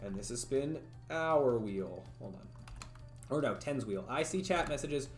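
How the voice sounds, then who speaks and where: A man streaming live, thinking aloud as he codes and chats with viewers, his voice a little raspy from talking for hours. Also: mid-range voice, animated, unhurried. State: voice getting raspy from long talking. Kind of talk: casual livestream coding commentary. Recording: roomy echo, faint music.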